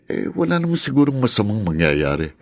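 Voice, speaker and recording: An actor playing a calm, reassuring male friend in a radio drama, casual and easygoing. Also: fairly low pitch, fairly quick, dry recording, studio quality. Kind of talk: Tagalog radio drama acting.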